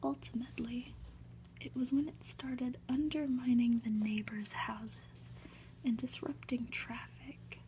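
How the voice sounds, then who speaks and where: A woman whispering an ASMR reading of a story in a gentle, hushed manner, with soft asides. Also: on the high side, little pitch movement, slow, a little hiss or hum, rough recording. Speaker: ASMR reader and host.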